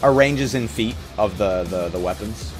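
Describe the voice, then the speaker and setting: Lower-pitched man playing a tabletop game. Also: normal speed, music in the background. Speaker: player in a tabletop roleplay. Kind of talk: casual game chat, thinking aloud.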